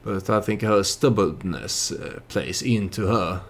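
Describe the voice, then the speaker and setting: A man giving casual storytelling commentary over a strategy game, hesitant and halting as he thinks aloud. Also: low-pitched, vocal fry, slight background noise. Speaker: let's play YouTuber narrating his strategy game.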